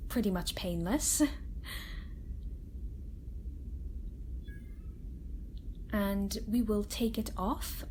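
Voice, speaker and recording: A woman playing a kind, gentle scientist doctor in a soft-spoken ASMR roleplay, reassuring and a little hesitant. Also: medium pitch, slight background noise, natural voice, rough recording. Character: kind, gentle female scientist doctor examining an alien patient. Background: sci-fi facility ambience.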